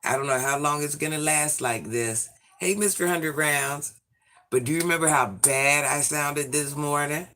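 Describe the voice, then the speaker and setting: A man in his forties, hoarse from illness, chatting sassily with viewers on a livestream and reading out their comments. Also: on the high side, normal speed, bright, forceful tone. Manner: sassy, chatty, addresses viewers by name. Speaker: livestream host.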